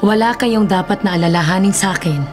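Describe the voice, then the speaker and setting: A woman plays a fair-minded village chief in a Filipino radio drama. She speaks in a measured, firm and reassuring way, with music underneath. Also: medium pitch, lightly acted.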